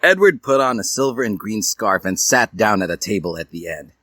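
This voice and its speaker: A man's voice: on the low side, animated, fairly quick, fairly bright tone, dry recording, studio quality. A man reading fan fiction aloud as a theatrical, mock-earnest storyteller.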